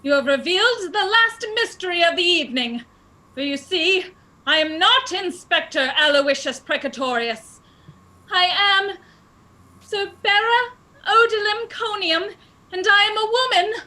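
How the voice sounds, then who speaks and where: A woman's voice: extremely high pitch, animated, unhurried, pushed and bright, full character voice. A woman playing a melodramatic detective in a comedic radio play, declaiming her deductions theatrically. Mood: dramatic, heartfelt. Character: melodramatic woman detective, triumphant and dutiful daughter.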